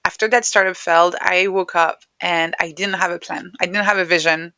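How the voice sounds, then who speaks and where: Bright-voiced woman, closely recorded and dry. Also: on the low side, steady tone, fairly quick, no room sound. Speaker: podcast guest, author and neuroscientist. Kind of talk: reflective interview conversation, personal storytelling.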